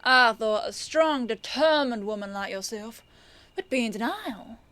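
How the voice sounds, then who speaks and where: Woman acting a composed detective, highish voice, close and dry. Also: lively intonation, a little slow, fairly bright tone, dry recording. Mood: smug, explaining. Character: composed female police lieutenant detective, firm yet kindly. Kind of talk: audio roleplay acting.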